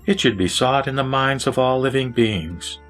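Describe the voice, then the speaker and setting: A man's voice: mid-range voice, normal speed, a bit bright, soft background music, pitched above the performer's own voice, natural voice. A man playing a wise, ailing lay sage who teaches in a solemn, formal way in a scripture audio drama. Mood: solemn, instructive.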